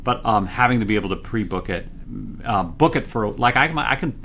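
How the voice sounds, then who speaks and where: A man, a product engineer, explaining products in a conversational interview, excitable and loud when he gets going, with many restarts. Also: mid-range voice, a little fast, a little hiss or hum. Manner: frequent fillers and restarts.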